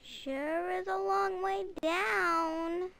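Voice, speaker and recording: A performer voicing an excitable young baby animal in a storybook game, speaking childlike in short exclaiming bursts. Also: very high-pitched, drawn-out words, creaky, slight background noise, full character voice. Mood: playful.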